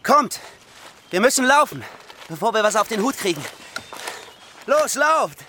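Radio play: hurried young journeyman, high eager voice, heavy storm noise. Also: sing-song. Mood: urgent, anxious. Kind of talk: German radio play acting. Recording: loud rain and thunder behind.

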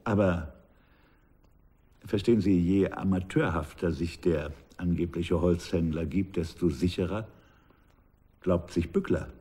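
An older man acting a shrewd, patient former pastor in a German radio drama, speaking in a measured, deliberate way. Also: low-pitched, dark tone, slightly rough. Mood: calm, calculating. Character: ponderous, shrewd former pastor turned investigator.

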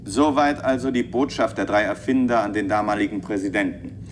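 A male actor plays an authoritative chairman in a radio play. His delivery is formal and official, in a fairly low voice, over clearly audible background noise. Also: steady tone, brisk, lightly acted. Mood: official, measured. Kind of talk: German radio play acting.

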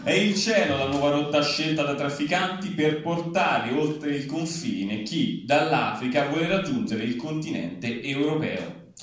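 A man plays newsreader for a satirical bulletin in a very reverberant space, heard from some distance. His voice sits a little high, and he delivers headlines with emphatic anchor-style phrasing, dropping at the punchlines. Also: lively intonation, unhurried. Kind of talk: mock TV news bulletin read live. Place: large echoey venue at a live reading event. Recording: very echoey hall, distant, faint noise.

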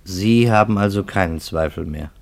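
Probing investigator, low-pitched, deliberate, articulate, coolly ironic, 1950s radio play. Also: male voice, darkish tone. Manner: measured, deliberate, pointed.

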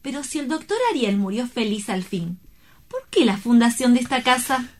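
A woman plays a gushing, sentimental lady in a radio drama. Her voice is high, effusive and curious, with some noise in the recording. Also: animated, normal speed, close to an everyday voice. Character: gushing, sentimental female visitor, easily charmed. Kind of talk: theatrical radio play acting.

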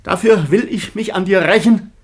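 A man's voice: sits high, normal speed, lightly acted. A man acting in a radio drama as a tormented, vengeful outcast son, bitter and intensely declamatory. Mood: anguished vengefulness.

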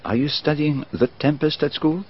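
An actor playing a fastidious middle-aged detective, speaking in a courteous, formal, probing way. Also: male voice, a little high, close to an everyday voice. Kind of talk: 1980s-style BBC radio drama. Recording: dull lo-fi archive radio, faint hiss.

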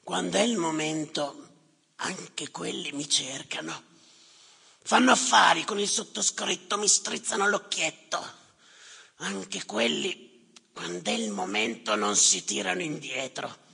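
Self-important general, very raspy high-set male voice, sardonic, theatrical, reverberant hall with music. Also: unhurried, sharp tone, full character voice. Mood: smug. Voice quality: very raspy, gravelly. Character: cynical, self-important, foul-mouthed male general running migrant crossings. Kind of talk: theatrical stage monologue.

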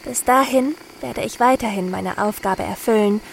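Radio drama, dreamy female radio operator, curious, pensive, higher pitch, noisy backdrop. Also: normal speed. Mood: pensive wonder. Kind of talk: scripted radio drama monologue. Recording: clear background noise.

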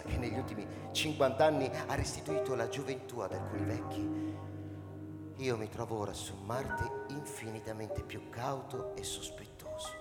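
A man playing a wary, easily flustered old spaceship captain in a radio drama, commanding yet halting. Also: mid-range voice, natural voice. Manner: commanding, turning halting and stammering. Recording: reverberant hall with music behind.

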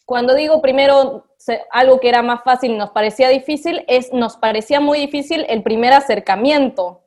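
A woman's voice: high-pitched, a little fast, a little mellow. A woman teacher, reflecting aloud in a radio interview with frequent fillers. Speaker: literacy teacher.

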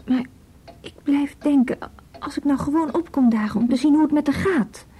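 Actress as an anxious young woman, radio play, high soft mellow voice, hesitant, self-doubting. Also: moderate pace, natural voice. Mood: troubled, self-doubting. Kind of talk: naturalistic radio drama dialogue.

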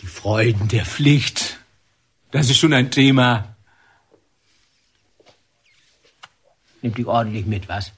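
A man's voice: medium pitch, measured pace, fairly bright tone, slightly rough, heavily acted. An actor playing an avuncular, well-meaning male warder, chatty and musing, in a naturalistic radio drama. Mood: probing, coaxing. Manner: hesitant, musing, conversational.

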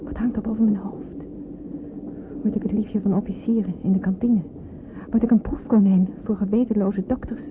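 Through a tinny, noisy old radio recording comes a young woman played by an actress, anxious and wary. She speaks in a hushed, deliberate radio-drama manner over a train-like rumble, as someone afraid of where she is being taken. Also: fairly even intonation, lightly acted. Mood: anxious, brooding. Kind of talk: radio play acting with hushed inner monologue.

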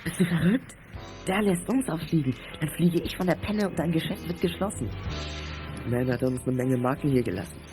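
A man's voice: on the high side, moderate pace, lightly acted. Man acting a nervous young accomplice over music. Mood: alarmed. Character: nervous young male schoolboy accomplice to petty crooks. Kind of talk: German radio play for young listeners.

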